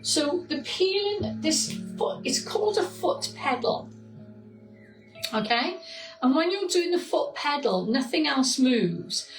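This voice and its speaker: Lady pilates teacher, bit croaky, chatty, far off in an echoey room w music on. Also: high-pitched, animated, slow pace. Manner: chatty, encouraging, with asides and self-corrections. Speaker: Pilates instructor. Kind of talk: instructing an online exercise class. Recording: echoey room, mic from a distance, music behind.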